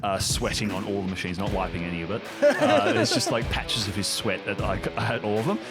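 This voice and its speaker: A man narrating an improvised comedy tabletop game as game master, halting and animated. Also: fairly low pitch, loud music behind the voice.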